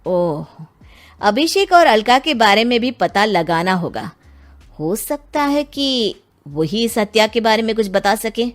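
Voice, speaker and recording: A woman's voice: on the high side, big pitch swings, a little slow. Woman voicing an earnest, formal police inspector. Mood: probing. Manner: questioning, formal, courteous. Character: earnest, businesslike male police inspector, persistent and courteous. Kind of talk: dramatized audio storytelling. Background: music.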